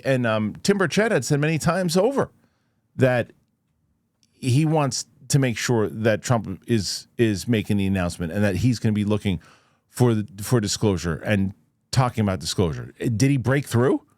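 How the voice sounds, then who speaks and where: A man's voice: medium pitch, lively intonation, moderate pace, darkish tone, dry recording, clean studio sound. A man speaking casually as a show host, giving emphatic, conversational commentary straight to his viewers and thinking aloud. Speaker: host of a UFO news show.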